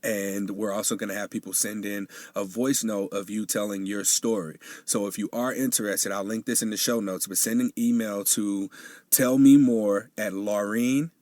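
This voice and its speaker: A man's voice: fairly low pitch, fairly even intonation, moderate pace, darkish tone, no echo. A man hosting a solo podcast, talking casually with lots of fillers, sounding nasal and sniffly from a coming cold. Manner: casual and chatty, with frequent fillers. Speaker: podcast host.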